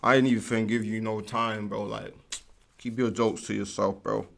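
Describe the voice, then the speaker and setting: A man's voice: fairly low pitch, flat delivery, moderate pace, creaky. A man hosting a livestream in casual, rambling slang with lots of fillers. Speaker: livestream host.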